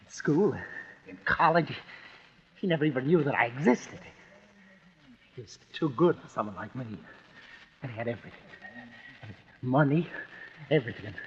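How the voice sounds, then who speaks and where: An actor playing a bitter, tormented killer, speaking in halting, repeated, anguished phrases in an old-time radio drama. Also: male voice, mid-range voice, close to an everyday voice. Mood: bitter hatred. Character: bitter, tormented man confessing, a brooding killer. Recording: muffled, hissy old radio recording.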